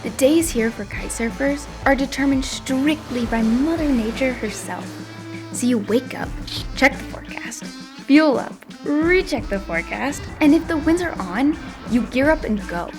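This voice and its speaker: A woman's voice: sits high, lively intonation, normal speed, over music. A woman reading scripted documentary narration as the narrator of a film.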